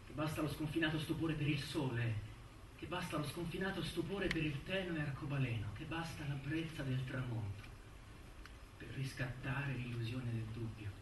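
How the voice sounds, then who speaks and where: Male actor, solitary rebel poet, incantatory theatrical recitation, high pitch, echoey distant recording, music underneath. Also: moderate pace, background noise, close to an everyday voice. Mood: hushed wonder. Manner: declamatory, insistent, incantatory. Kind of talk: theatrical dramatic poetry recitation. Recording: echoey stage, distant mic.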